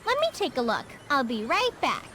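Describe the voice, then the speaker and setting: A woman voicing an eager, helpful and earnest young girl with magic in an animated game. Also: sits high, normal speed, a little hiss or hum, full character voice. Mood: willing.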